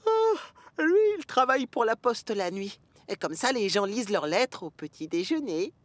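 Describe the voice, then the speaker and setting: A woman voicing a cautious, kindly small male train engine with a husky, hesitant voice in a French cartoon dub. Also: mid-range voice, sing-song, moderate pace, dry recording, lightly acted. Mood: hesitant, friendly.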